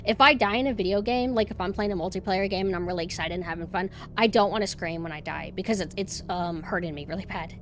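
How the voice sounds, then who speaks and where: Woman, streamer, monotone, rambling, self-deprecating, faint background noise. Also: mid-range voice, fast talker, few pauses. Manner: rambling, self-deprecating, many fillers and restarts. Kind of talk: casual chat.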